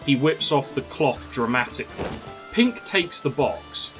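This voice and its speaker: Male narrator for a fantasy story, highly varied pitch, music clearly behind him. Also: sing-song, measured pace. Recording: music under the voice.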